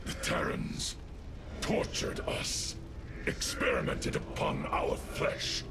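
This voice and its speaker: An actor playing a loyal, earnest and anguished alien soldier in a video game, speaking formally and dramatically. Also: male voice, slow, background noise, close to an everyday voice. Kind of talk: video game cutscene voice acting. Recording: loud music over the voice.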